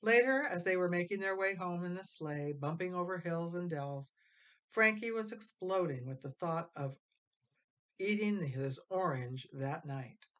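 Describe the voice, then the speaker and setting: Low-pitched woman reading a storybook. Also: expressive, a little slow, fairly bright tone. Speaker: storybook reader. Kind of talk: expressive scripted narration of a children's story.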